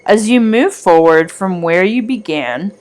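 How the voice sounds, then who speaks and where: A woman reading a scripted guided meditation in a soothing, deliberate manner with long pauses. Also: mid-range voice, expressive, a little mellow.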